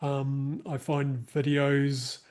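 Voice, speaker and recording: A man's voice: mid-range voice, little pitch movement, drawn-out words, a little mellow. A man with a New Zealand accent hosting an online workshop, chatting casually with many fillers and restarts over a video call mic. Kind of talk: casual closing remarks to a live online group.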